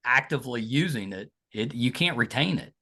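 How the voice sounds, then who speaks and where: A man's voice: mid-range voice, animated, normal speed, pushed and bright, husky. A man hosting a podcast, chatting easily with his guest and agreeing often. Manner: friendly, agreeable interviewer with many "yeah" replies. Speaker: podcast host. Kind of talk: casual interview chat.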